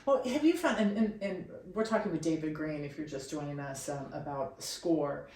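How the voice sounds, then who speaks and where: A woman's voice: sits low, moderate pace, darkish tone. A woman hosting a conversational radio interview, asking questions and adding comments. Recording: echoey room, faint background noise.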